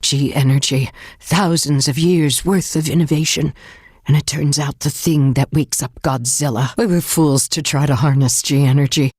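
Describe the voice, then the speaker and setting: An actress playing a tough, resolute government leader in a dramatic video game, speaking in a blunt, commanding way. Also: female voice, lowish voice, dry recording, studio quality, natural voice. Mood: grim, resolute. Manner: blunt, commanding, hard-nosed. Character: tough, resolute female government leader fighting a giant monster.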